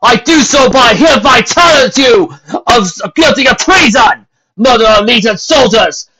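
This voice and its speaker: A man voicing a cruel male pony legion captain who booms commands slowly and theatrically. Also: expressive, bright, forceful tone, husky, heavily acted. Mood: commanding. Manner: booming, commanding, slow and theatrical. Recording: dull lo-fi home recording.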